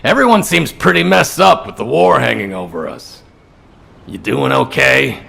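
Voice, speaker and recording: An actor playing a concerned, urgent soldier in a video game, with a rough gravelly voice. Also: male voice, mid-range voice, expressive, normal speed, pushed and bright, heavily acted. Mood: uneasy. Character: concerned male soldier on war-front watch, urgent. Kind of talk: video game cutscene acting.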